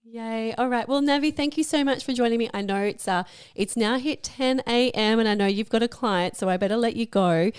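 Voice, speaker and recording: A woman hosting a chatty podcast interview, effusive and encouraging, with a slightly croaky voice. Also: a little high, a little fast, hardly any pauses, no echo, clean studio sound. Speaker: podcast host.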